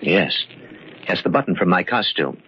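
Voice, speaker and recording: Smug, evasive gentleman suspect, theatrical and very varied in pitch, dull vintage radio recording. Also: expressive, moderate pace. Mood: flustered, defensive. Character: smug, evasive male murder suspect and party host. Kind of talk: old-time radio mystery acting. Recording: dull, noisy old radio drama recording.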